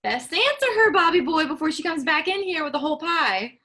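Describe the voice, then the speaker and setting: Lady reading a wry wife, laughs easy, high bright voice. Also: big pitch swings, a little fast, exaggerated voice. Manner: wry, chatty, laughs easily. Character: practical rural wife, warm, wry. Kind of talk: staged play reading.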